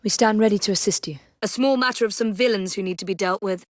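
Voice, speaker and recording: A woman's voice: mid-range voice, fast talker, dry recording, clean studio sound, natural voice. An actress playing a composed young Victorian woman assassin with an English accent in a video game, wry, proper and determined. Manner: crisp, proper, understated.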